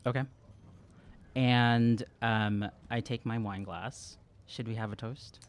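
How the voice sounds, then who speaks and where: A man's voice: medium pitch, fairly even intonation, slow. A young man playing a tabletop roleplaying game, casual and hesitant as he thinks aloud, on a close studio mic. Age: young adult. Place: small indoor studio room. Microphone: close studio podcast mic with windscreen.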